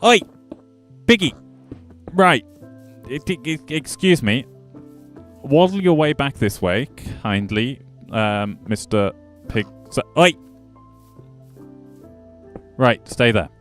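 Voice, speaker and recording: A man casually commenting on his gameplay as a streamer, chatting to his viewers and joking with the animals in the game. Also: mid-range voice. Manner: chatty, jokey, talks to chat and game animals.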